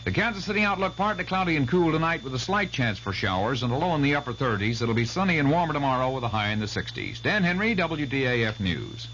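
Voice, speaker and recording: A man's voice: medium pitch, sing-song, quick pace, hardly any pauses, fairly bright tone. A man reading sports results and a weather outlook as a radio news announcer. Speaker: sportscaster and newsreader. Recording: muffled old radio broadcast with hum.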